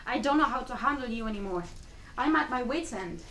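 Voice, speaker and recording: A woman's voice: a little high, lively intonation, brisk, sharp tone. A woman playing a weary, wounded and guarded Cuban immigrant mother in a dramatic play reading, exasperated and pleading. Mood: stern, exasperated. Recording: distant mic, faint room noise.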